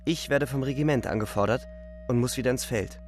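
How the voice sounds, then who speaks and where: A man playing a weary, despairing young German soldier, narrating gravely and deliberately in a literary radio play. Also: medium pitch, natural voice. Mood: weary, reflective. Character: twenty-year-old German soldier, weary, despairing, reflective.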